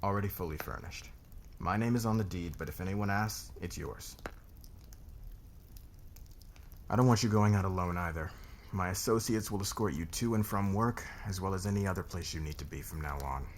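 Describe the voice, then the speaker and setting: Man acting a deep gravelly commanding boss. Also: slight background noise. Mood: firm, possessive. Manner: measured, commanding, deliberate. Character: demanding, controlling male boss, a powerful calm lord. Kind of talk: ASMR roleplay voice acting.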